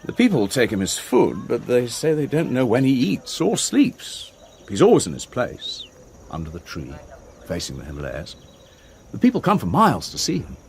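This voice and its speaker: A man's voice: medium pitch, huge pitch swings, normal speed, creaky, a little mellow, a little hiss or hum. An actor playing a blunt, worldly man in a radio drama, speaking frankly and dryly. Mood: matter-of-fact. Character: blunt worldly man, local agent, Mr Dean.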